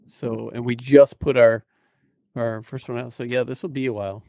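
Gravelly-voiced man, close and dry. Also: mid-range voice, fairly even intonation, normal speed, dark tone, no room sound. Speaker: podcast co-host. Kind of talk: casual chat. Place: small cluttered home room. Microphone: close studio mic on boom arm.

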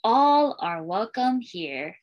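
A woman's voice: a little high, big pitch swings, takes it slowly, muffled recording. A woman in her thirties, a school librarian, reading a picture book aloud to young children in a sing-song storytelling way. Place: small indoor room with bookshelves and curtain.

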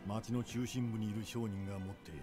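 A man playing a stern, world-weary mentor in a dubbed video game, speaking gravely and dismissively. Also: fairly low pitch, fairly even intonation, a little fast, music in the background, close to an everyday voice. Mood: businesslike.

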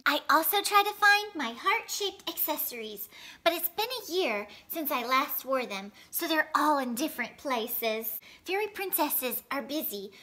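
A woman in her thirties reading a children's storybook aloud in an expressive, animated way, phrased for young listeners. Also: high voice. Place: living room at home, indoors.

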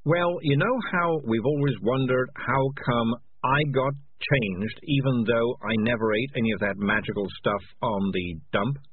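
A man voicing a selfish, guilt-ridden talking tomcat in a storybook, raised and defensive with drawn-out words, recorded with a phone-like narrow sound. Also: medium pitch, a little hiss or hum, close to an everyday voice. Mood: uneasy.

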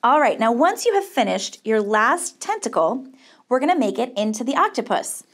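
Female children's craft host, middle-aged, high-pitched, encouraging, upbeat, speaking directly to kids. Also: big pitch swings, moderate pace. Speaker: children's camp counselor host. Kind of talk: children's craft tutorial presenting. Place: small studio set, indoors.

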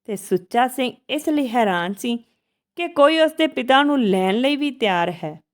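Lady reading an emotional moral story, kinda high voice, short choppy phrases. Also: expressive, a little slow.

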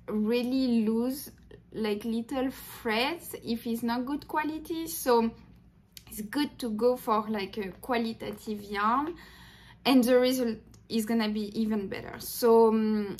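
A young French woman with a thick French accent, hosting a casual crochet tutorial to camera in a small room, chatty and upbeat with plenty of fillers. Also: fairly high pitch. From France. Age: young adult. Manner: chatty and upbeat, many "like"s, simple English.

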